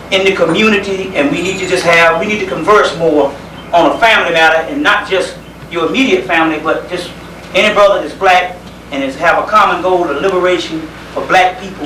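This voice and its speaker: High-voiced Southern man lecturing from far off. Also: pushed and bright. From South Carolina, United States. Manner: folksy, conversational, hesitant pauses with repeated phrases. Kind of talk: informal community lecture. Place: indoor lecture gathering. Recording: roomy, distant microphone, faint background noise.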